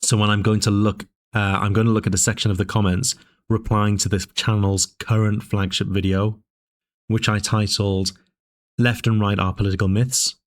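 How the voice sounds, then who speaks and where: A man with a fairly low voice talks through his arguments in a measured, discursive way. He often pauses on fillers and restarts his phrases. His voice sounds a little dry as he speaks to his channel's viewers. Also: little pitch movement. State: dry voice, sipping tea. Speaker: political commentary channel host. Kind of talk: casual spoken commentary.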